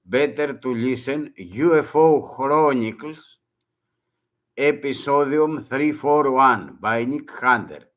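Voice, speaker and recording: An older man from Greece with a Greek accent, speaking halting, broken English as a second language and often repeating words in a monologue. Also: mid-range voice, a bit bright. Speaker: retired merchant mariner.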